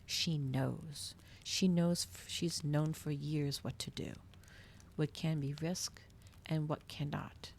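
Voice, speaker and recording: A woman voicing a haughty, hot-tempered male vampire in a breathy, hissed ASMR storybook reading. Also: low-pitched, fairly even intonation, slow, darkish tone, heavily acted. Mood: imperious anger.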